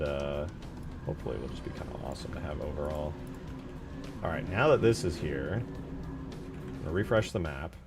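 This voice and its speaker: A man streaming casually as he builds a game mod, thinking aloud and answering chat in informal, slangy talk. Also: low-pitched. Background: music behind the voice.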